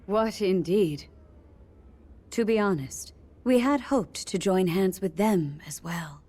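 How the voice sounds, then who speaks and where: A woman playing a composed, regal queen in a fantasy game, speaking in a measured, formal way. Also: medium pitch, lively intonation, darkish tone, breathy edge. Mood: grave. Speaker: video game character voice. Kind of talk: dramatic game cutscene acting.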